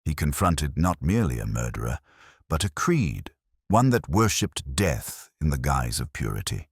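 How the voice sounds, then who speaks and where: Very deep-voiced male audiobook narrator. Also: big pitch swings, normal speed, slightly rough, dry recording, studio quality. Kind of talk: scripted first-person literary narration.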